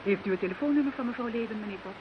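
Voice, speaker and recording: Actress as a quietly firm wife, muffled sound. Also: female voice, a little high, very fast, natural voice. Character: policeman's wife, believer in the occult, quietly firm. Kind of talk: Dutch audio drama acting. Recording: dull, noisy, hissy old radio recording.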